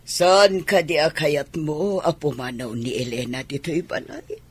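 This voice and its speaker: A woman acting an admonishing mother of a grown son, with a husky voice, in a radio drama. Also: low-pitched, animated, a little slow, full character voice. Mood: probing.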